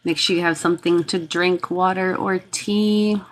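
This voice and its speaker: A woman's voice: fairly low pitch, fairly even intonation, moderate pace, slight background noise. A woman hosting a reiki livestream, greeting and thanking viewers by name in a casual, chatty way while congested and sniffly from a cold.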